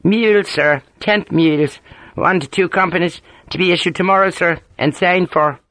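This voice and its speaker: A man doing a deadpan Ulster sergeant. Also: high-pitched, normal speed, no echo, pitched above the performer's own voice. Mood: amused. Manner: deadpan, dryly amused. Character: wry Irish army sergeant, deadpan joker.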